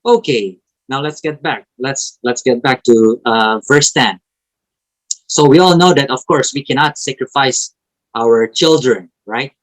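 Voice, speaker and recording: A man with an Indonesian accent, a native Indonesian speaker, teaching a Bible lesson to an online fellowship in a halting way, with restarts and frequent "right?". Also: mid-range voice, poor audio. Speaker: preacher.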